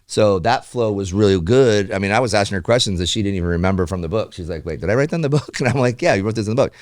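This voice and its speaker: A man's voice: on the low side, expressive, rapid-fire, a little mellow, no echo. A man hosting a podcast, talking in an informal, conversational way. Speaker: podcast host. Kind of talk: casual podcast chat.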